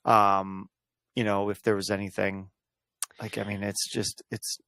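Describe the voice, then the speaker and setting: A man's voice: fairly low pitch, little pitch movement, unhurried, vocal fry, fairly bright tone, dry recording. A man co-hosting a studio podcast, playful and laughing, with hesitant fillers. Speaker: podcast co-host. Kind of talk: casual chat.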